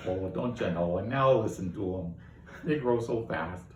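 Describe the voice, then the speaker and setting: A man's voice: deep voice, moderate pace, a little mellow, far from the mic, natural voice. A chatty old alien gossip woman rambles in a folksy way, as a man puts her on. She keeps calling people dearie. The sound is roomy, with faint noise behind. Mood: gossipy.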